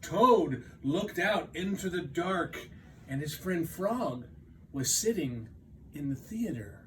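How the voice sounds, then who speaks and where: A man reading aloud to young children as a storytime teacher, expressive, with dramatic pauses and stretched emphasis. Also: fairly high pitch, recorded from a distance, slight background noise.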